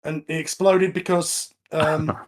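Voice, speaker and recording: Male podcast commentator, slightly high-pitched, casual watch-along delivery. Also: little pitch movement, a little slow.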